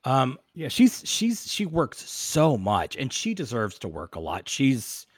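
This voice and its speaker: A man talking as a guest in a casual podcast interview, animated and halting, often starting a phrase over. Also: medium pitch, no room sound, clean studio sound.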